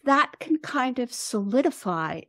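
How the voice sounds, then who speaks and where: An American woman of seventy talking reflectively as an interview guest, with thoughtful, halting phrasing. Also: a little high, sing-song, fairly bright tone, no room sound, studio quality. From United States. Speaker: podcast guest, composer and author.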